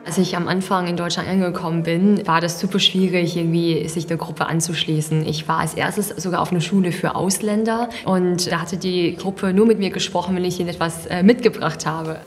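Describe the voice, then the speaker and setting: A woman's voice: on the low side, fairly even intonation, a little fast, few pauses. Woman, twenties, from China, thoughtful and earnest, slight hesitations, reverberant sound. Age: 20s. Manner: earnest and reflective, with small hesitations. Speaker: social startup founder. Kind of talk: personal portrait interview.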